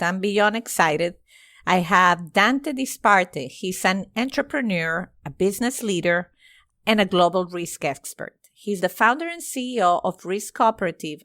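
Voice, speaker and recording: A woman's voice: medium pitch, a bit bright, no echo. A woman hosting a podcast interview, gushing with praise and often restarting her sentences. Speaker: podcast host.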